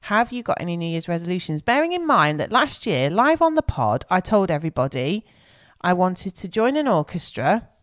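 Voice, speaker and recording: A woman's voice: lowish voice, very animated, moderate pace. A woman with an English accent co-hosting a podcast, chatting in a rambling way, searching for words while she has a cold. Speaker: podcast co-host. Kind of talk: casual chat.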